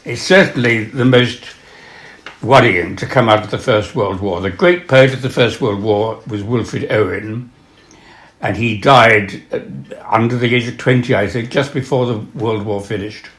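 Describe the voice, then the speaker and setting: A man presenting and reading literature aloud, with a measured, dramatic delivery when reciting. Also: on the low side, a bit bright. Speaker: reader and presenter of poems and prose. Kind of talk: literary recital with spoken introductions.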